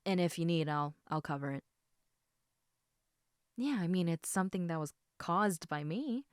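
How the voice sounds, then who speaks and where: A woman playing a caring, slightly snarky snake woman in an intimate audio roleplay, speaking in a soft, hesitant conversational murmur that dips into whispers. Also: fairly low pitch, animated, moderate pace, clear, resonant tone, dry recording, studio quality, close to an everyday voice.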